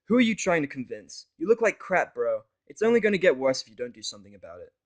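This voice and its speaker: Casting: male reader for chilled-out, big-hearted girl pony, lifted voice, lively slangy urging, audio fiction. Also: a little high, quick pace, voice pushed up. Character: laid-back, caring young female pony, slangy. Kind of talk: fan fiction audio story character voice.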